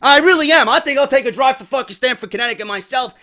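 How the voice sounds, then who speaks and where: A man hosting a wrestling news show, giving blunt, profane opinions and getting loud when worked up. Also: extremely high pitch, quick pace. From New York.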